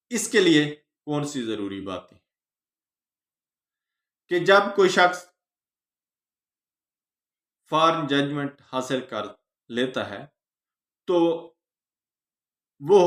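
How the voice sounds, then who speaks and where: Pakistani guy teaching law, pitch swings up and down, pauses between phrases, echoey room. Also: a bit bright. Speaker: law lecturer. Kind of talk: explanatory legal lecture.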